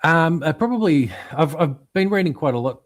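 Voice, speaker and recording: A male podcast co-host chatting casually about comics, halting and full of ums and restarts but enthusiastic. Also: fairly high pitch, animated, no echo.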